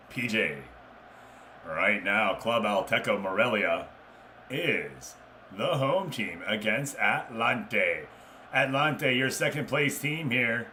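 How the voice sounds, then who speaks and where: A man's voice: fairly low pitch, pushed and bright, slight background noise. A man hosting a game livestream, chatting casually with viewers and commentating on matches in an informal way.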